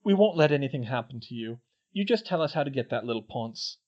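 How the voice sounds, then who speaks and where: A man reading as a mischievous teenage wizard twin in a storybook, light and joking with a lively pitch. It comes through a dull, lo-fi recording. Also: quick pace, lightly acted. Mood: comforting. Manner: joking, then gently comforting.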